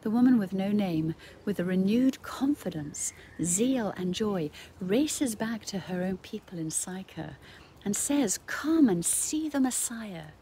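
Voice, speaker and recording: A woman in her fifties giving an earnest, measured spoken reflection as a bishop. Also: medium pitch, expressive, dark tone. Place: garden, out of doors. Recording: outdoor ambient noise, birdsong.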